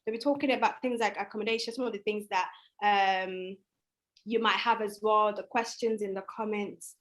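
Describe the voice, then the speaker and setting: A woman's voice: medium pitch, fairly even intonation, normal speed. A young woman hosting a student livestream, talking casually and informally as she shares advice. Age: young adult. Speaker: student host of a live online event. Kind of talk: casual, chatty livestream talk.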